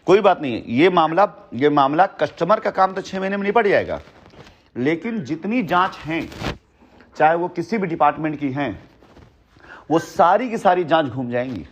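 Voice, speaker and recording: Assertive middle-aged man, forceful phone talk. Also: on the high side, animated, moderate pace, muffled recording, slight background noise. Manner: assertive, boastful, repeats himself. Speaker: company head on a phone call. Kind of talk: heated phone conversation.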